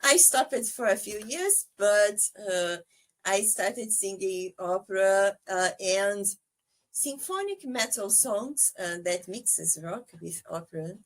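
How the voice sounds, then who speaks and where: A woman's voice: mid-range voice, expressive, slow pace. Hesitant woman, Portuguese native speaker. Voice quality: soprano singer. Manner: hesitant, many fillers, laughs often. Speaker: guest. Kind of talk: casual podcast conversation.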